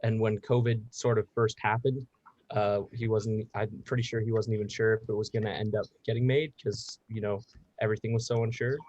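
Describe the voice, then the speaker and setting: An eighteen-year-old man who acted in and wrote music for a film, speaking haltingly in an interview. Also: fairly low pitch, little pitch movement, a little mellow, muffled recording. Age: late teens. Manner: halting, slow. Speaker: actor and composer. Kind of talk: casual interview answers.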